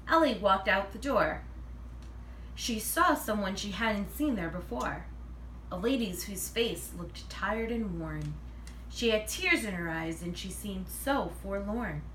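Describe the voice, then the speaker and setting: Animated woman reading a storybook, roomy recording. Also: mid-range voice, slow. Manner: animated, acts out dialogue. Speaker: read-aloud reader. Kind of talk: expressive children's picture book read-aloud. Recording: roomy, distant mic, faint noise.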